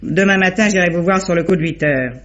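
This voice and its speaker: Actress as woman sleuth, fast-talking, practical, shrewd, matter-of-fact, muffled vintage radio drama sound. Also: fairly low pitch, a bit bright, natural voice. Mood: practical, brisk. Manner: brisk, matter-of-fact questioning. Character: shrewd, practical, quick-witted woman sleuth helping an inspector. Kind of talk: 1950s French radio mystery acting.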